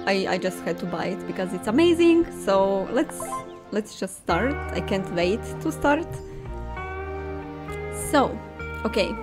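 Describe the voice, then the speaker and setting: Excitable young woman, slow animated game commentary. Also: medium pitch. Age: young adult. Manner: giggly, excitable. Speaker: gaming video host. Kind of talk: casual playthrough commentary. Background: game music.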